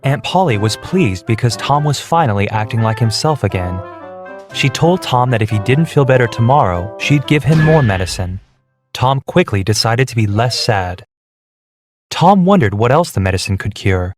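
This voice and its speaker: A man narrating a scripted children's story in a clear, measured way. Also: mid-range voice, lively intonation. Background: music.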